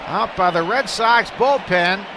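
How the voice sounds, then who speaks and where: An American man calling live baseball play-by-play on radio, excitable on big plays and conversational between pitches. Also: measured pace. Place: ballpark broadcast booth. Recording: clear stadium noise behind the voice.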